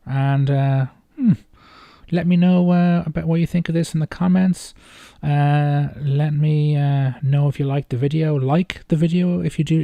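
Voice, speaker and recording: A man giving casual, off-the-cuff video commentary in a hesitant, rambling way with halting phrasing. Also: on the high side, creaky, dark tone, no room sound. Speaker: video host reacting to game trailers.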